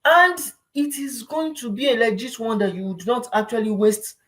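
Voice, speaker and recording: A man's voice: very high voice, moderate pace, pushed and bright. A man with a Nigerian accent speaks as an advice vlogger in an informal, explanatory style. His delivery is conversational and emphatic. He often repeats a phrase for weight and checks that the listener understands.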